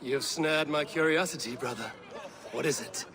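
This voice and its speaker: An actor playing a loyal, steady Viking warrior with a plain, dry manner in a game cutscene. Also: male voice, fairly high pitch, moderate pace, crowd noise, lightly acted. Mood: wry. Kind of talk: video game cutscene acting.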